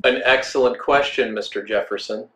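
A man voicing a calm old man who speaks in measured, formal riddles as a disembodied host in a tabletop game. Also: medium pitch, echoey room, natural voice, low-quality recording. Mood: cryptic, composed.

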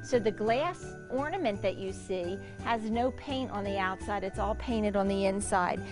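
A woman's voice: medium pitch, moderate pace, music in the background. A middle-aged woman, a shop owner, chatting enthusiastically as she gives a tour of her large indoor store, with frequent ums. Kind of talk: guided store tour interview.

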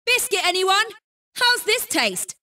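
A woman's voice: extremely high pitch, big pitch swings, slow pace, bright, forceful tone, far from the mic, heavily acted. A British woman voicing a cheeky, confident young female kart racer in a video game, sassy and exclamatory. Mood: cocky, teasing. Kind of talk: video game character barks.

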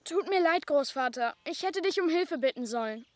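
A woman's voice: high-pitched, animated, normal speed. A woman voicing an eager, helpful little boy in a children's cartoon. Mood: earnest. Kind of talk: animated children's cartoon dubbing.

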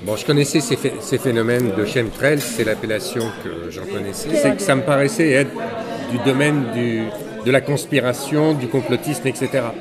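A middle-aged man speaks in a mid-range voice, articulate and deliberate. A crowd chatters loudly behind him. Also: mid-range voice. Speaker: audience member. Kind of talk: street interview.

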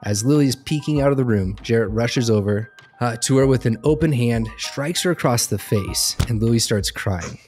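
A man's voice: mid-range voice, normal speed, hardly any pauses, a little mellow. A man narrating an improvised role-play scenario as its game master. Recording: music bed under the voice.

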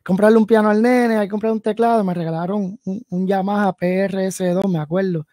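A man's voice: sits high, steady tone, a little fast, dark tone, no room sound. A man in his late thirties from New Haven, Connecticut, chatting as a guest musician on a video call from his home studio, rambling and thinking aloud with many fillers. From New Haven, Connecticut, USA. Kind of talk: casual interview chat. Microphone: headset and video call.

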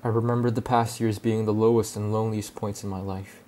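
Young guy from hong kong, cantonese is his first language, low flat voice, slow thoughtful voice-over. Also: slight background noise. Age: young adult. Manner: calm, reflective, measured. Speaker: student filmmaker. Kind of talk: scripted personal voice-over narration.

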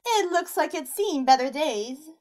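A woman's voice: high voice, animated, measured pace, darkish tone. A woman voicing a brash, flirtatious female monster in a fan audio drama, with a provocative, sassy drawl.

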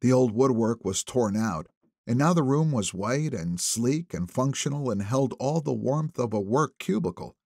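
A man's voice: mid-range voice, animated, normal speed, gravelly, darkish tone, dry recording, clean studio sound. A man reading a thriller novel as scripted audiobook narration.

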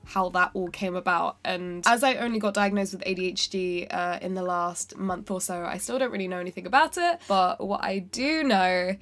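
A woman's voice: on the low side, normal speed, hardly any pauses, fairly bright tone. A woman in her twenties with a cold and a nasal voice, chatting to camera in her bedroom, rambling with lots of fillers and self-corrections. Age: 20s. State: has a cold, sounds nasal. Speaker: singer-songwriter and vlogger. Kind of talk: casual talking-to-camera vlog. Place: bedroom indoors.

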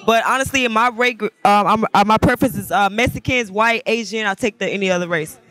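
A woman's voice: medium pitch, quick pace, fairly bright tone, dry recording. A drunk young woman from Memphis with a Southern US accent, talking brashly and profanely in a casual street interview. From Memphis, Tennessee. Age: young adult. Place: outdoor sidewalk outside a venue at night. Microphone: handheld microphone. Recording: busy nighttime street crowd behind.

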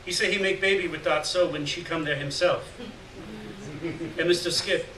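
A man's voice: a little high, steady tone, moderate pace, bright, forceful tone. A man voicing a caring, earnest foreign woman in a story reading, speaking short phrases of broken English. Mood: soothing. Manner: broken grammar, short urgent reassuring phrases. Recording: roomy, distant, noisy live room recording.

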